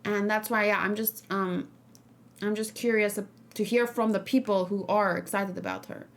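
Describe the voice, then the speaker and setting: A woman's voice: mid-range voice, fairly even intonation, moderate pace. A woman streamer talking casually about a game, musing informally and thinking aloud as she goes. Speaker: streamer and reaction host. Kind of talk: casual livestream game discussion.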